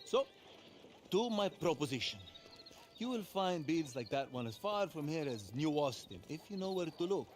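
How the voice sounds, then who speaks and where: An actor voicing a refined, menacing crime boss with an Italian accent, speaking formally and imperiously in a game cutscene. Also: male voice, fairly high pitch, big pitch swings, moderate pace, a little hiss or hum. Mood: smooth, calculating. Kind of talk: video game cutscene acting.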